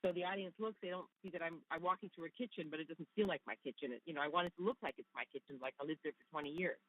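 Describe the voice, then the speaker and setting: A woman's voice: sits low, very fast, phone-quality sound. A woman from Canada whose first language is Hungarian, talking effusively as a guest actress in a casual interview.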